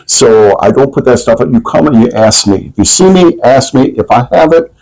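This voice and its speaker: A man's voice: mid-range voice, lively intonation, brisk, gravelly, a little mellow, rough recording. A man from Lithuania, a sommelier guest, explaining things in casual conversation.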